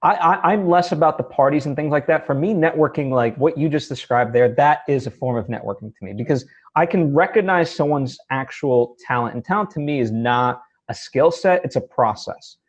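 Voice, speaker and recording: A man's voice: on the high side, fairly quick, hardly any pauses, dull audio. A man hosting an interview in a casual, reflective conversational style. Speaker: interviewer, podcast host.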